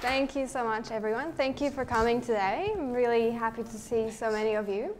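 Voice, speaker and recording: A woman's voice: fairly high pitch, fairly quick, noisy background. Slovak-accented young woman giving a talk. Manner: friendly, relaxed, thinks aloud with restarts. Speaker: guest speaker, underwater photographer. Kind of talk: presentation to a live audience. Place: lecture hall at a photo show.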